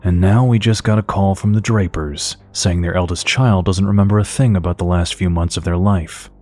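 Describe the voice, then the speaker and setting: Low-voiced, firm federal agent played by a man. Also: fairly even intonation, mellow, faint music behind, close to an everyday voice. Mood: grave. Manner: grave, authoritative, measured. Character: firm, official male FBI agent. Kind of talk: audio drama acting.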